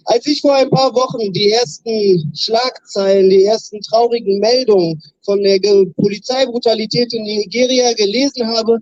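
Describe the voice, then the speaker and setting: High-voiced man rousing a rally crowd. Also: fairly even intonation, normal speed, hardly any pauses, no echo. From Berlin. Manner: rousing, leads chants, shouts slogans. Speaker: rally speaker. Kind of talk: protest rally speech. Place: outdoor street rally. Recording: dull lo-fi sound.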